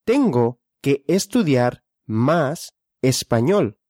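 A speaker leading a question-and-answer language drill. The speaker asks each question, then answers it deliberately. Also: medium pitch, very animated, dark tone, no room sound, clean studio sound.

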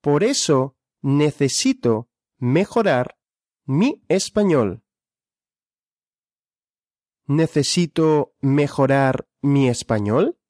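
A speaker leading a question-and-answer language drill. The speaker asks each question, then answers it deliberately. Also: mid-range voice, expressive, a little mellow, no room sound, clean studio sound.

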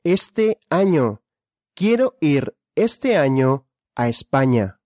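A speaker leading a question-and-answer language drill. The speaker asks each question, then answers it deliberately. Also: medium pitch, very animated, a little mellow, dry recording, clean studio sound.

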